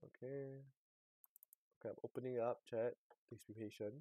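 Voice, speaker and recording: A man live streaming a game, chatting casually and haltingly, muttering to himself and to his chat. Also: medium pitch, fairly even intonation, dark tone, dry recording.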